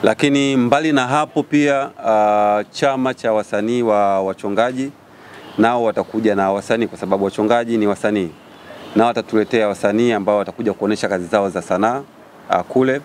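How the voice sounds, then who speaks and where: Low-voiced Tanzanian man at a press briefing. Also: fairly quick, slight background noise. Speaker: event organiser. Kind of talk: press conference address in Swahili.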